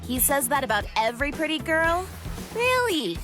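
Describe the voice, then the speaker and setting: A brash young anime girl fighter. Her voice is very high and bright, swinging widely as she snaps out blunt, combative lines over faint music. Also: big pitch swings, moderate pace. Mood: sarcastic. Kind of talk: anime game dub acting. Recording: music behind the voice.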